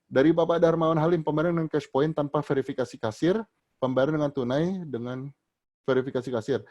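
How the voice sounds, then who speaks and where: A male trainer answers questions from an audience during an online session. He talks in a loose, explaining way, full of fillers and restarts, and his voice jumps higher and louder whenever he starts a new answer. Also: fast.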